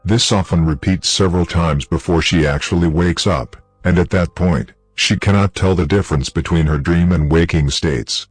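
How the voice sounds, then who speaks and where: A man playing a detached clinical researcher, reading a formal case file in measured horror-story narration. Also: low voice, no echo. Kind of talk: scripted horror audio narration, formal report.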